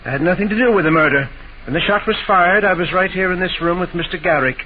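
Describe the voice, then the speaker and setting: A tinny old broadcast with faint hiss carries an actor playing an agitated male suspect. His high voice is defensive and emphatic, in the heightened style of a 1940s radio mystery. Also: quick pace, close to an everyday voice. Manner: protesting, emphatic.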